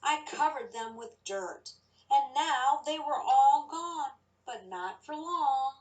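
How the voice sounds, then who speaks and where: A woman reading a picture book and teaching young children, speaking deliberately and putting questions to her listeners. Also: high voice, expressive, poor audio. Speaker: children's story reader and teacher.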